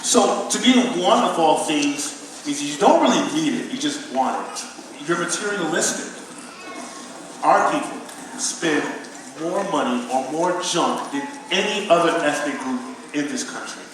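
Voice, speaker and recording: Earnest, admonishing father played by a man, instructive stage delivery, heard from afar over clear noise. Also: a little high, lively intonation, moderate pace, lightly acted. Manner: didactic, emphatic. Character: earnest male father instructing his son. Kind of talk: stage play lecturing. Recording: distant, very echoey, noisy stage recording.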